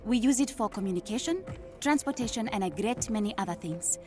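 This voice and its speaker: A woman playing a confident young African princess and scientist in a video game, with an African accent and a brisk, precise delivery. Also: mid-range voice, expressive, close to an everyday voice. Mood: brisk, businesslike. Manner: brisk, self-assured, precise. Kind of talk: cinematic video game acting. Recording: prominent background music.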